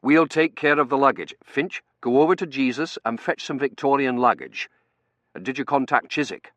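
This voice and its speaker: A man voicing a brusque, commanding male academic in an audiobook, brisk, authoritative and easily exasperated. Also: mid-range voice, a bit bright, no echo, dull audio, pitched above the performer's own voice, lightly acted.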